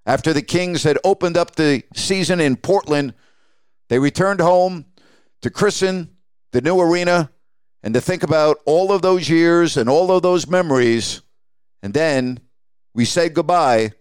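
A man's voice: fairly high pitch, a little slow, clear, resonant tone, no echo. A man hosting a podcast, talking reflectively on his own in the style of a sports announcer reminiscing. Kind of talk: reflective solo podcast talk.

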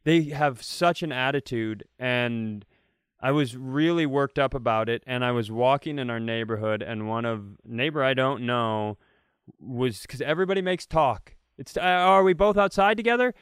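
A man's voice: mid-range voice, moderate pace, a bit bright, clean tone, no echo, clean studio sound. An American man from Minnesota telling stories casually as a comedy podcast co-host, ranting in comic exasperation and acting out quotes. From Minnesota, United States.

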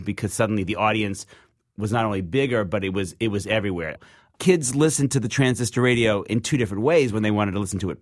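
Low-pitched American man explaining in interview. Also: no room sound, studio quality. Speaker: interview guest, music record label founder.

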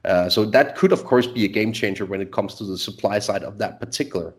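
A man's voice: fairly low pitch, fast. A man from Denmark, a market strategist, speaking with many hesitations and chuckling asides. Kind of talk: conversational market discussion.

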